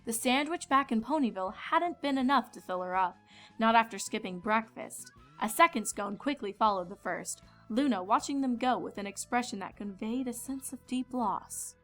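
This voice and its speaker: A woman's voice: a little high, expressive, normal speed, soft background music. A woman reading scripted fan fiction narration as a storyteller.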